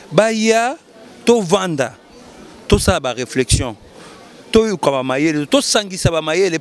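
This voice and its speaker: Earnest man rallying support outdoors. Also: fairly high pitch. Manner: earnest, rallying, repeats key phrases. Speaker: local political organizer. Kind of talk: street interview. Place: outdoors at night in a lit pedestrian square. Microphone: handheld mic held by interviewer.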